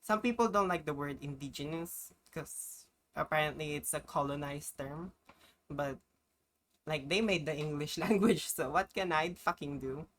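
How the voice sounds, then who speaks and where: A young Filipina streamer talks in a low, relaxed voice, English a second language to her. She wanders and hesitates, filling gaps with ums and small laughs, over a faint background hiss. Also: moderate pace. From Philippines, Benguet region. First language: a Philippine language. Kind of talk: casual live-stream chat.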